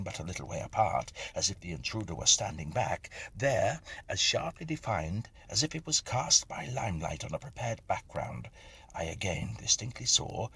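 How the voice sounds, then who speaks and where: A low-voiced man narrates a Victorian ghost story. His delivery is deliberate and atmospheric, with wide swings in pitch. The voice is gravelly and creaky at the edges. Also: a bit bright.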